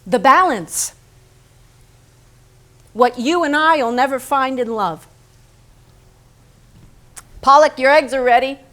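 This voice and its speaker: A woman's voice: sits high, slow, long pauses, pushed and bright, exaggerated voice. An actress playing an intense, blunt, strong-willed woman painter in a theatrical staged monologue, insistent and questioning. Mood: yearning, intense. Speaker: stage actor. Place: staged reading in a barn before an audience.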